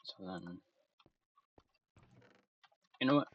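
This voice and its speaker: A man's voice: sits low, animated, extremely slow, dull audio, a little hiss or hum. A man giving casual commentary while playing a game, thinking aloud as he goes. His voice is starting to tire. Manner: thinks aloud, meandering and self-talking. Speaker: let's play gamer.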